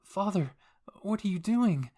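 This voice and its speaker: A man's voice: high voice, animated, a little slow, mellow, pitched above the performer's own voice. A man voicing a distressed young royal daughter who pleads and questions in a dramatic anime fan fiction reading. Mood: alarmed.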